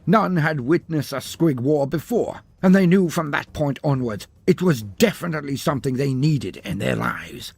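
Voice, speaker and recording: A man's voice: on the high side, animated, moderate pace, creaky, few pauses, a little mellow, breathy edge, dry recording. A man narrating a scripted fantasy tale in a theatrical, florid and wry manner. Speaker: storyteller narrator.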